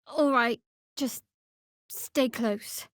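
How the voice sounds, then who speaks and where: Sardonic, guarded young woman cleric, higher-pitched. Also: very slow, no room sound. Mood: tender, confiding. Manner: dry, wry, sardonic. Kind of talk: video game character voice lines.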